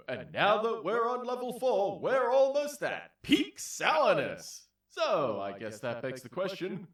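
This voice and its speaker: An actor playing a showy, sadistic game show host in an audio drama parody, with theatrical drawn-out announcing. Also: male voice, sits high, a bit bright, distant mic, full character voice. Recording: roomy echo, off the mic.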